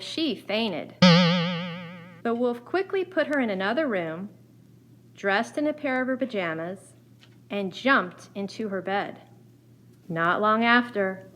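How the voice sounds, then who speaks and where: A woman's voice: medium pitch, animated, a little slow, fairly bright tone, soft background music. A woman narrating a scripted children's fairy tale as a storyteller. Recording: faint background noise.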